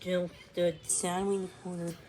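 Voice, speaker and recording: A woman reads a children's storybook aloud, halting now and then and restarting words. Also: fairly low pitch, flat delivery, mellow, slight background noise. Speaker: storybook reader. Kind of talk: read-along storytelling of a children's book.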